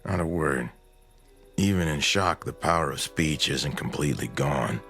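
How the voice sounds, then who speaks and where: An actor playing a grave, practical small-town husband in a radio drama, speaking tersely and matter-of-factly in a gravelly voice. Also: male voice, very deep voice, a little slow, a bit bright, soft background music, heavily acted. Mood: troubled, pondering. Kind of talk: old-time radio drama acting.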